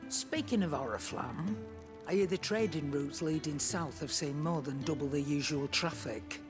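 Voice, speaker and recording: A woman's voice: sits low, normal speed, natural voice. A woman playing a nosy, salty tavern keeper in a fantasy video game, with a regional English accent and blunt, colloquial talk. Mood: brusque, gossipy. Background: music.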